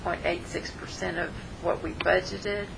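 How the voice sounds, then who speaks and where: A woman's voice: lowish voice, little pitch movement, a little slow, gravelly. A woman with a scratchy voice reading a treasurer's report, matter-of-fact as she lists figures. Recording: noticeable background noise.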